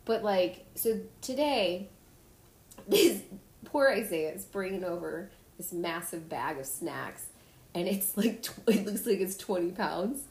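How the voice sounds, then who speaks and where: A woman hosting a podcast, telling stories in a casual, animated way and acting out the people in them. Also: fairly low pitch, takes it slowly. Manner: animated storytelling, acts out kids' voices. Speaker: podcast host. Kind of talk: casual chat. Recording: echoey room.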